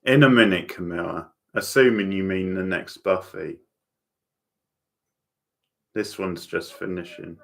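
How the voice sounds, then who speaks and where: Male livestream host, very deep gravelly voice, relaxed watchalong chat addressed to viewers. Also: unhurried, long pauses. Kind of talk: casual watchalong commentary, chatting with viewers.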